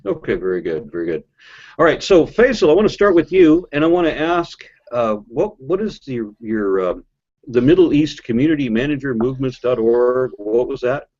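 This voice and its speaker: Male podcast host, mid-pitched voice, casual and hesitant, muffled lo-fi audio. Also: big pitch swings, moderate pace, a little mellow. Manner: informal, hesitant questioning.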